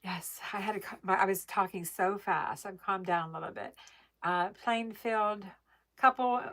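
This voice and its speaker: A woman's voice: mid-range voice, normal speed, a bit bright. Chatty woman on a phone livestream. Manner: chatty, bubbly, laughs easily. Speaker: livestream host reading viewer comments. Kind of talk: casual live chat. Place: home family room downstairs.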